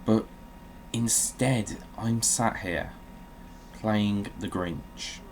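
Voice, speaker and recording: A man with a fairly low voice gives casual video game commentary at a very unhurried pace. He comes across as dry and self-mocking, grumbling as he plays. There is some faint noise in the background. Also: a little mellow. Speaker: video game show host. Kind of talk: casual gaming let's-play commentary.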